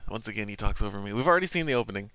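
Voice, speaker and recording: A man's voice: fairly low pitch, lively intonation, fast talker, clean tone, no room sound. A man doing let's play commentary and reading game text aloud, rambling with asides. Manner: rambling, full of ums and asides.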